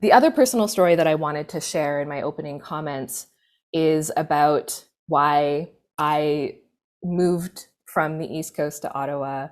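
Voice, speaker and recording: A young Canadian woman speaking on a conference panel, measured and earnest, with deliberate phrasing. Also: low-pitched.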